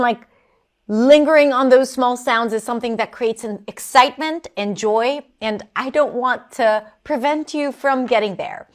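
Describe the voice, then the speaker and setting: A woman coaching a learner on English pronunciation, answering his recorded question. She speaks in an encouraging, expressive way, with a voice pitched a little above the usual for women.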